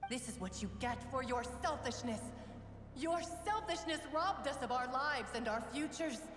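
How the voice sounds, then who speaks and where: A woman's voice: high-pitched, lively intonation, fairly bright tone. A woman voicing a cold, accusing spirit of many murdered women in a video game, speaking with solemn condemnation. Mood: cold, condemning. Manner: slow, solemn, condemning delivery. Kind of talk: dramatic video game voice acting. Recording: echoing reverberant hall, distant, faint noise.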